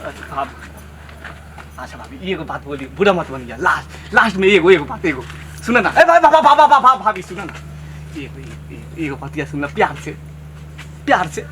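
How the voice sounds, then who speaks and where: Man playing a high-pitched, pleading young village lad. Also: lively intonation, moderate pace, pushed and bright, slight background noise. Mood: wheedling. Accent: Bhojpuri. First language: Bhojpuri. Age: young adult. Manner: wheedling, boastful, repetitive. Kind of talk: comedy skit dialogue.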